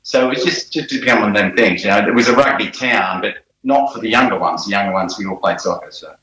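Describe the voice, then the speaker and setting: Male guest, 40s, Australian accent, bright lower voice, easygoing storyteller. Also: quick pace. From Australia, New South Wales. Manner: relaxed storyteller, frequent fillers and laughs. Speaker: guest, former footballer. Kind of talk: casual interview chat. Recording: roomy echo.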